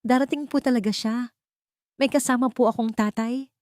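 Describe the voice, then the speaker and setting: A hopeful little boy, as a woman narrator puts him on, speaks politely and with awe. The voice is lifted in pitch and recorded dry, in the style of an audio romance story. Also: steady tone, moderate pace, darkish tone, no echo, lightly acted. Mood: joyful disbelief. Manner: awed, polite, full of disbelieving joy.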